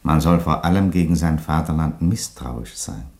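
An actor playing an aging Roman emperor in a radio play, an unhurried, wry father with a dry, sententious delivery. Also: a bass voice, vocal fry, darkish tone. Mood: wry, earnest.

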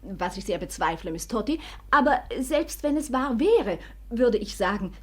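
A suspicious, cantankerous village woman. The voice is husky and swings widely in pitch, with blunt, contrary emphasis in 1950s radio-play style. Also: very animated, normal speed. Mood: doubtful. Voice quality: husky, rough.

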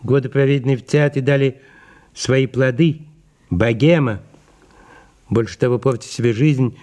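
A man's voice: medium pitch, fairly even intonation, normal speed, mellow, lightly acted. A man acting a haughty middle-aged French mother in an audio drama, scolding and full of melodramatic, self-pitying indignation. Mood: aggrieved, cutting.

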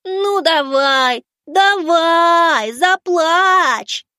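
Cruel boy bully, very high-pitched, sing-song, drawn-out, mocking, menacing, audiobook character. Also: bright, forceful tone, no room sound, clean studio sound, full character voice. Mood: gleeful mocking.

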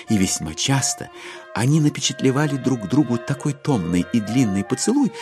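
An actor playing a wry, digressing storyteller, narrating a dramatized classic novel in an ironic, expressive, musing way. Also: male voice, fairly low pitch. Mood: amused irony. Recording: music under the voice.

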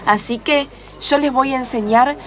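A woman's voice: high voice, moderate pace, natural voice. A woman playing an effusive, preachy hippie leader of an organic commune in improvised radio comedy. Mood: gushing, serene. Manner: effusive, sing-song endearments, preachy. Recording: faint background noise.

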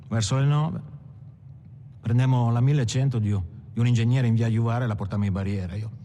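An actor as a cool militant gangster, a man with a dark, mellow, mid-pitched voice who recounts his past in clipped, measured phrases. Faint noise sits behind him. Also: lightly acted. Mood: reflective. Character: militant gangster coolly recalling armed action. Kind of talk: dramatised first-person testimony. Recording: faint background noise and ambience.

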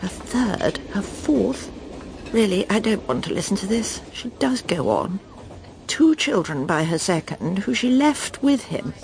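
A woman's voice: mid-range voice, big pitch swings, unhurried, creaky, darkish tone, a little hiss or hum. An actress playing the private thoughts of a snobbish middle-aged Englishwoman in a radio drama, murmured, confiding and disdainful. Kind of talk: radio drama inner monologue.

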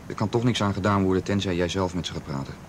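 A man's voice: deep voice, fast talker, lightly acted. A man playing an earnest, protective young friend in a radio drama, urging and reassuring with insistence. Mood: calm, persuasive. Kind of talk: naturalistic radio drama acting. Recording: noisy old radio drama recording.

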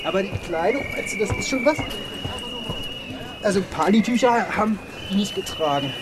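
A man's voice: high-pitched, animated, moderate pace. A man chatting casually and colloquially, as if telling a friend an anecdote. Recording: noisy outdoor background.

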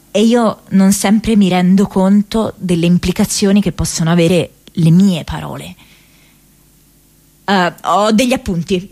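A woman acting a young American activist in a radio theatre monologue, thinking aloud from her diary in a reflective, halting, conversational way. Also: on the low side, darkish tone. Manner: halting, reflective, conversational, with hesitations and repeated words.

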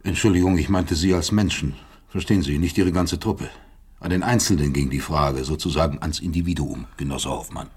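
Raspy, low, sardonic professor. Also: male voice, a little fast, darkish tone. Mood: sarcastic. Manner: sarcastic, brusque. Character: sardonic, proud professor confronting a secret police officer. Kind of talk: radio drama dialogue.

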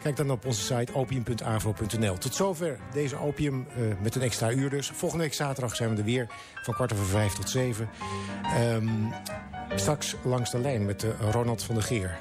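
Radio host: man, medium pitch, announcing with small hesitations, music clearly underneath. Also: normal speed. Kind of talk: radio presenting, credits and sign-off. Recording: music playing behind the voice.

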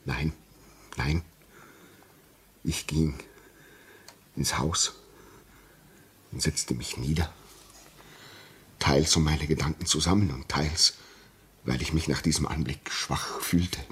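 An actor playing a grave, shaken railway signalman in a German radio play, telling his story haltingly and insistently. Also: rumbling low, steady tone, fairly bright tone, slightly rough, full character voice. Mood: grave, tense. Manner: slow, halting, repeats words for emphasis.

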